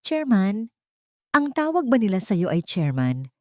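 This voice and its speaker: A woman's voice: medium pitch, big pitch swings, unhurried, dark tone, no room sound, studio quality, pitched above the performer's own voice. A woman with a Filipino accent voicing a wronged, earnest young woman with an intense manner in an audio story. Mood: hushed disbelief.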